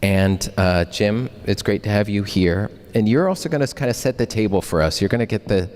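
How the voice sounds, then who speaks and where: A man moderating a panel, introducing speakers in a brisk, friendly way. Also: fairly low pitch, a little mellow. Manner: brisk, friendly, reads bios fluently. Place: public hall. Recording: reverberant hall.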